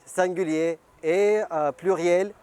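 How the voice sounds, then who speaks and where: A man's voice: sits high, fairly even intonation, slow, dark tone, clear, resonant tone. A man with a Bulgarian accent, earnestly explaining in a documentary interview.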